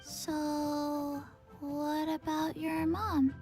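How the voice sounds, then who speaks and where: A woman voicing a small, blank zombie girl in a video game, deadpan, halting and childlike. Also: high-pitched, faint music behind.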